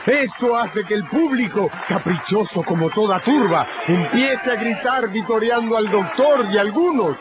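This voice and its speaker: Male narrator, dramatic scripted radio storytelling, lively pitch swings, dull recording. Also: measured pace, few pauses, background noise.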